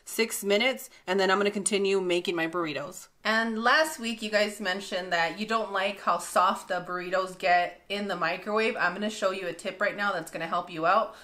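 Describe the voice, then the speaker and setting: A woman in her thirties hosting a casual cooking demonstration in a bright home kitchen, chatty and upbeat, laughing often. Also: medium pitch, normal speed, hardly any pauses. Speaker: cooking show host.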